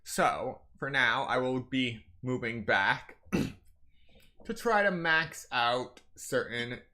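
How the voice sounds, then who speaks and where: A man giving live game stream commentary in a deliberate, halting way. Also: mid-range voice, animated, bright, forceful tone. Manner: deliberate, halting phrasing with frequent throat clearing. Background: faint game music.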